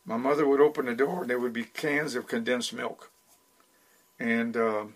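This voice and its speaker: A man in his eighties, Dutch by first language and from the Netherlands, recounting his life as a podcast guest in an unhurried way with many restarts. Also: mid-range voice, fairly even intonation. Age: 80s. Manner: unhurried storyteller with frequent restarts. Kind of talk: storytelling interview.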